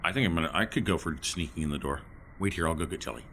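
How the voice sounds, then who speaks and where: A man's voice: rumbling low, very fast, a bit bright. A man chatting casually as a player in a tabletop role-playing game. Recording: faint background noise.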